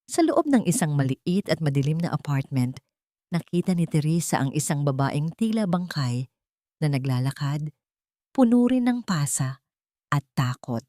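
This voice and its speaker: A woman reads a dramatic audiobook story in a low voice. Her delivery is solemn and deliberate, following a written script. The recording is dry and close, with very little room sound. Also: sing-song, mellow, no echo. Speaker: audiobook narrator.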